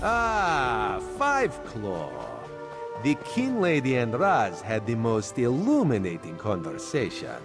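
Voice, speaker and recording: A man's voice: medium pitch, huge pitch swings, slow pace, music in the background. An actor playing a sly male cat-folk spymaster who talks about himself in the third person, in a video game. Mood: amused.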